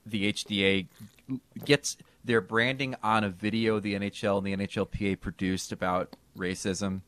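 A man co-hosting a sports podcast, talking in a casual, animated way with bursts of emphasis. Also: lowish voice, normal speed, clear, resonant tone. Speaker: podcast co-host.

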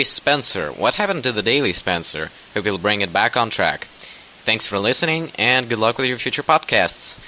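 A man's voice: on the low side, pushed and bright, a little hiss or hum, rough recording. A man from Russia reading out a prepared listener message with a question. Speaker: listener sending a recorded audio question.

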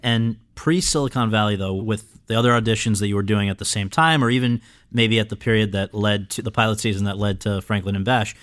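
A male interviewer with a somewhat low voice. He builds long, careful questions and drops short replies while his guest talks. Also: fairly quick, few pauses, a bit bright.

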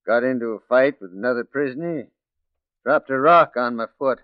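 An actor playing a bitter, self-possessed ex-convict forger with a clipped, worldly manner in a 1940s radio drama. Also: male voice, mid-range voice, normal speed, smooth, no echo, close to an everyday voice. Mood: bitter, defiant. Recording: muffled 1940s radio recording.

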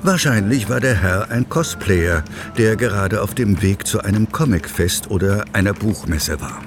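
A man narrating a scripted children's audio play as an expressive storyteller. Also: on the low side, normal speed. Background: music, vehicle sounds.

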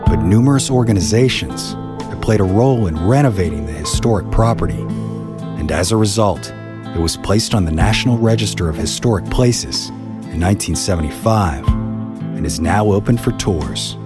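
A man with a very low voice narrates as a podcast host. His delivery is scripted storytelling, and music with plucked guitar plays behind him. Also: expressive, normal speed.

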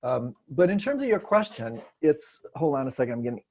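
A man from Detroit, a meditation teacher, answering a question in a relaxed, gentle way with frequent restarts, over a video call. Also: medium pitch, a little fast, slightly rough. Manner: gentle, searching, many restarts. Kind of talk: relaxed spoken teaching, unscripted.

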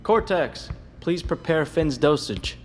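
A man's voice: fairly high pitch, expressive, takes it slowly, music in the background. An actor playing a wary, exasperated man guarding his patient in an audio drama, anxious and questioning. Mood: urgent concern. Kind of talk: scripted audio drama acting.

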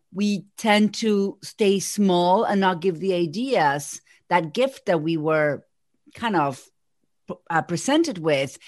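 A woman's voice: mid-range voice, dry recording, studio quality. Woman with an Argentine Spanish accent. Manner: energetic, thinks aloud with restarts. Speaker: guest, executive coach. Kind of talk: casual podcast conversation.

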